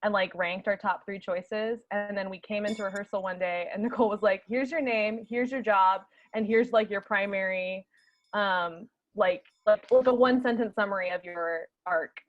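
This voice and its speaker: A woman's voice: mid-range voice, steady tone, normal speed, highs cut off. A woman actor chatting casually in a group discussion, emphatic and repeating phrases as she thinks aloud. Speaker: actor in a radio play, panel guest.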